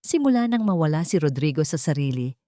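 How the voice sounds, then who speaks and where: A woman's voice: sits low, very animated, quick pace, mellow, no room sound, clean studio sound. A woman narrating a dramatic romance audiobook from a script.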